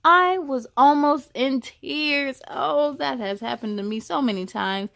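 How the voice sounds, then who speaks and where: A woman reading a storybook aloud as a children's host, animated and speaking directly to young listeners. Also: sits high, a little slow, creaky. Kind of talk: expressive storybook read-aloud with chatty asides to children.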